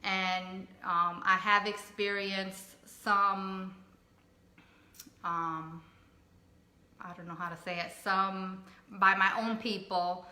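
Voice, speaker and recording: A woman in her forties, raised in Connecticut with Spanish as her first language, chatting casually as a co-host in an unhurried, thoughtful way. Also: mid-range voice, steady tone. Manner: soft-spoken, unhurried, thoughtful with frequent fillers. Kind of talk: casual personal talk. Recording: echoey room.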